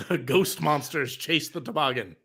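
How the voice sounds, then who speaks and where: A man's voice: mid-range voice, measured pace, a bit bright. A man reading scripted cartoon story narration at a live table read.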